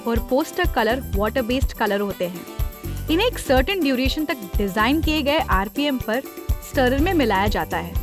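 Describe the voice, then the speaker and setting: Female co-narrator, high register, friendly and inquisitive, scripted explainer over a clear music bed. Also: animated, moderate pace. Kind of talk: scripted narration for a factory explainer, conversational two-hander. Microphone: studio voice-over mic.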